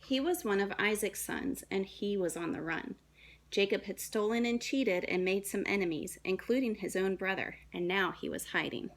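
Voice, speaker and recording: A woman, a young adult, reading a children's story aloud in a dramatic, deliberate way with shifts between emphasis and hush. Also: mid-range voice. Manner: dramatic, deliberate phrasing with hushed and emphatic moments.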